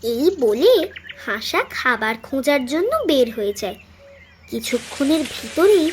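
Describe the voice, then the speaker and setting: High, lively female story narrator. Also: noisy background. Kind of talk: scripted children's cartoon story narration.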